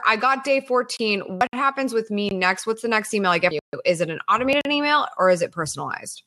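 A woman's voice: mid-range voice, fairly bright tone. A woman coaching a client on marketing, asking probing questions and thinking aloud with many "like"s. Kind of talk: casual coaching conversation.

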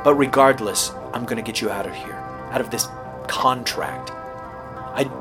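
A man's voice: medium pitch, measured pace, over music, natural voice. A man playing an earnest, stubborn young rookie radio DJ in an audio horror drama, sometimes hesitant and pleading. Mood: earnest, determined. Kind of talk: dramatic audio horror acting, naturalistic dialogue.

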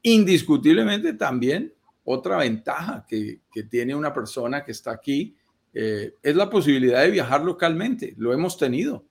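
A man giving investment advice on a live video call, measured and persuasive, laying out his points as lists. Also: on the high side, big pitch swings, vocal fry. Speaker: real estate investment advisor. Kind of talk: explanatory live-stream talk.

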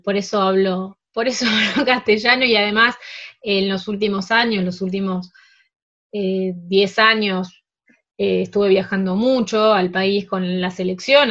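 A woman with an Argentine Spanish accent answering interview questions in a relaxed, halting way, full of fillers and restarts. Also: mid-range voice. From France. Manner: hesitant, many fillers and restarts. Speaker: table tennis player, interview guest. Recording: dull lo-fi sound.

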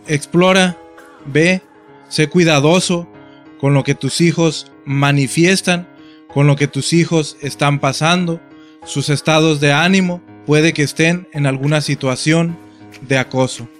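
A man's voice: a little high, fairly even intonation, measured pace, soft background music. A man giving instructive advice straight to parents as a voice-over. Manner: instructive, addressing the listener directly.

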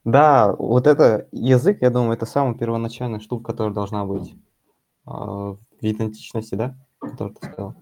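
A young man answers questions in a casual chat, pausing often and working out his thoughts as he goes. His voice sits fairly low and sounds warm and relaxed. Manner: hesitant, thinking aloud. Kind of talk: casual discussion.

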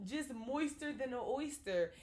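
Young female co-host, lively sing-song delivery, blunt and profane storyteller. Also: high-pitched, unhurried, heavy background noise. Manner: frank, profane, storytelling with lots of "like". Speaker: podcast co-host. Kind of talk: casual chat.

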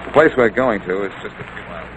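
Actor playing a low-voiced wisecracking radio detective. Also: male voice, lively intonation, quick pace, lightly acted. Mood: wry. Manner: wisecracking, nonchalant. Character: wry, flirtatious, self-assured male amateur detective. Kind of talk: old-time radio drama acting. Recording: noisy vintage radio recording.

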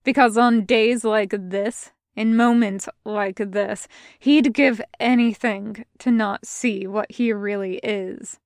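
A woman reading fan fiction aloud, voicing a guarded, brooding young male pirate who speaks quietly and low. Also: steady tone, slow pace, dry recording, studio quality. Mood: subdued.

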